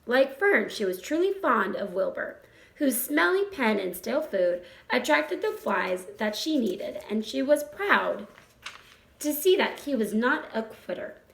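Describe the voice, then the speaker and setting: A woman reading a children's story aloud with expressive narration. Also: on the high side, measured pace, creaky. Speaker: storybook reader. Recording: roomy echo.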